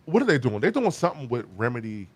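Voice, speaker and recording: Animated man talking games fast. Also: mid-range voice. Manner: animated, stumbling restarts, emphatic. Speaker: podcast host. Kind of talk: casual gaming chat.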